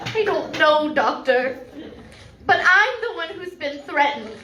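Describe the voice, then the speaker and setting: A frightened, suspicious heroine of an old radio melodrama, played by a woman, high-pitched and sweeping in intonation. She speaks slowly and theatrically in a roomy space. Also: very animated, sharp tone, exaggerated voice. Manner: theatrical, deliberate delivery. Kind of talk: old-time radio play acting. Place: live performance venue, indoors. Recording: roomy live-stage recording, faint noise.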